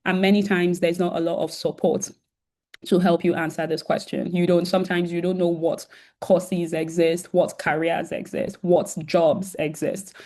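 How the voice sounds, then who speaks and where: A woman's voice: on the low side, little pitch movement, normal speed, dark tone. A woman with a sore throat and an occasional cough hosts a virtual career event on a video call. She talks casually to students, with many "um" fillers and restarts.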